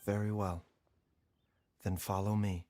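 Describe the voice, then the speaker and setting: A man voicing a calm, gravely serious doctor in a video game, speaking in a measured, polite, formal way. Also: fairly low pitch. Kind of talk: scripted video game voice acting.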